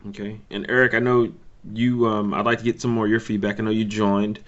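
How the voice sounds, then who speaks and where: A man chairing a conference call, giving informal updates in a halting, thinking-aloud way. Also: fairly low pitch, flat delivery, a bit bright. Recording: dull lo-fi conference call audio.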